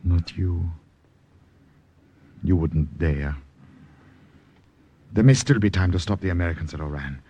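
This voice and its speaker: An actor playing a troubled, weary enemy officer in an old radio drama, with a halting, emotional delivery. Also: male voice, low-pitched, slightly rough. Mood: agitated. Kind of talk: 1940s-50s radio drama acting. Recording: dull old radio recording, loud music.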